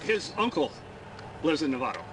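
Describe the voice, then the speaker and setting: A man in his seventies from Michigan with a Midwestern accent, a stopped driver answering questions haltingly, with many fillers. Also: a little high, sing-song. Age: 70s. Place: roadside by a highway. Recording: distant, loud traffic noise.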